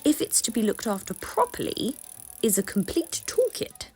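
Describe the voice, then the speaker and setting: A woman voicing an inventive, determined woman cyclist in a storybook, musing to herself in slow, emphatic, drawn-out phrases. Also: a little high, wildly swooping pitch, darkish tone, husky, faint music behind, exaggerated voice. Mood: musing, decisive.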